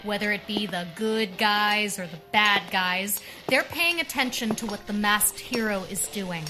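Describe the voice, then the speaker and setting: An actress playing a wry, determined masked heroine and quick-witted strategist in a pulp radio drama. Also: female voice, medium pitch, over music, close to an everyday voice. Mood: wry, assured.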